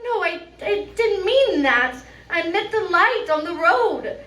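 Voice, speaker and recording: A woman playing a frantic, frightened heroine in a live old-time radio drama, speaking in halting, stammering, melodramatic bursts. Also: very high-pitched, sing-song, fairly bright tone, full character voice. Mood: anxious. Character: Geraldine, frightened, frantic woman trapped on an island. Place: live venue performance, picked up from a distance. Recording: distant, echoey room with faint noise.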